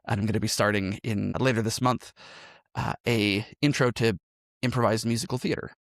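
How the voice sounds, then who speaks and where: A man's voice: on the low side, fairly even intonation, fairly quick, dry recording, clean studio sound. A man telling his story as a podcast guest in a conversational, reflective way, often checking in with a "right?" as he explains. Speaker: podcast guest, improv teacher and game master.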